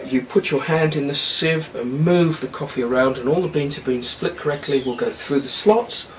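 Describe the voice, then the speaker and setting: A man's voice: medium pitch, distant mic, slight background noise, rough recording. A man guiding a tour of his coffee farm, patiently explaining step by step with the odd filler word, recorded outdoors. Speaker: coffee farm owner and guide.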